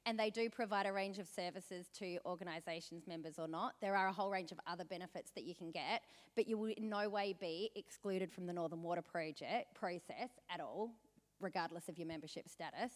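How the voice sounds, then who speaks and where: A woman's voice: lowish voice, fairly quick. A woman hosting a business briefing, explaining and reassuring the audience. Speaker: event host. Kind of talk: announcements to an audience. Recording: long hall reverb.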